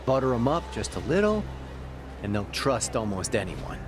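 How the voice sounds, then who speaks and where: A man's voice: mid-range voice, very animated, normal speed, natural voice. A man playing a slippery Hawaiian taxi driver and small-time crook in a video game, chatty and smug but cowardly, prone to stammering. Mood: smug menace. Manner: chatty, stammering when flustered. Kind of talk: English video game cutscene dub acting. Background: music.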